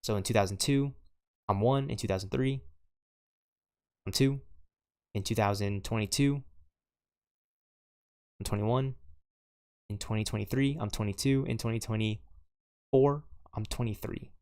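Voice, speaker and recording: A man's voice: on the low side, measured pace, pauses often, darkish tone. A man in his twenties talking casually over gameplay, rambling with many fillers and repeats. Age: 20s. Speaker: gaming streamer.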